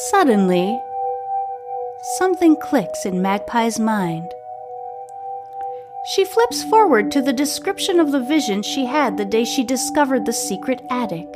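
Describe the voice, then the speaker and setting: A woman reading a scripted serial story aloud as a podcast host, with a measured, deliberate storytelling delivery. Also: high voice. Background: music.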